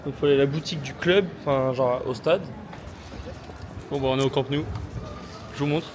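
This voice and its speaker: Rich: Sound from a small handheld camera mic in a hot, busy city street, with clear traffic and ambience behind a man chatting freely to his viewers. He speaks at a middle pitch and talks loosely, with slang and self-mocking asides, as he vlogs through his day. He uses plenty of fillers and keeps a casual, unscripted flow. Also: steady tone, brisk. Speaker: travel vlogger. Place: mostly outdoors in sunny, busy city streets. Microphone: small handheld gimbal camera mic.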